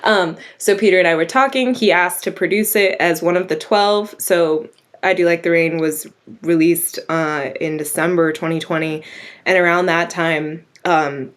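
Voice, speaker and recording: A young woman from Virginia chats as a guest writer, her voice sitting a little low for a woman. She tells stories briskly and acts out other people's lines as she goes. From Virginia, USA. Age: young adult. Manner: animated storytelling with acted quotes. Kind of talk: casual interview chat.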